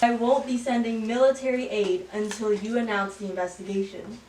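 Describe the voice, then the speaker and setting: A woman's voice: fairly high pitch, steady tone, normal speed, a little mellow, lightly acted. A woman playing a self-serving, pushy male president in an amateur satire. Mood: firm demanding. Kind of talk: amateur student satire acting. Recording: roomy, distant mic, background noise.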